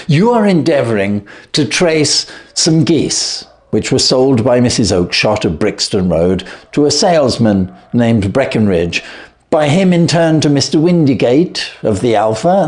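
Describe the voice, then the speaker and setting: A man voicing a shrewd, calm gentleman detective who speaks blandly and with sweet irony. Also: medium pitch. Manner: bland, sweetly ironic, assured.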